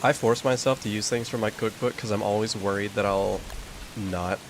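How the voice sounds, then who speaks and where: A young man with a slightly low voice chats loosely while he plays, rambling and dropping in plenty of filler words. A steady hiss sits clearly behind him. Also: normal speed. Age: young adult. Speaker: gaming streamer. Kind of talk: casual chat while playing a game.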